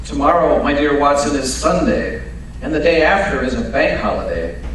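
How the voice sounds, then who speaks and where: Guy as calm brainy detective in old radio play, slightly high voice, explains stuff with authority, echoey and far from mic. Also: moderate pace. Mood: brisk, commanding. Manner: precise, authoritative, unruffled explaining. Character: cool, confident, brilliant male detective, dryly commanding. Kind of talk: old-time radio mystery acting. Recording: very echoey hall, distant mic, faint noise.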